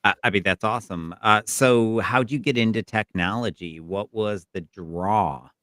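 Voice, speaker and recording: Deep, bright-voiced male podcast host. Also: dry recording, clean studio sound. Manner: upbeat, laughs along, quick asides. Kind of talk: casual interview chat.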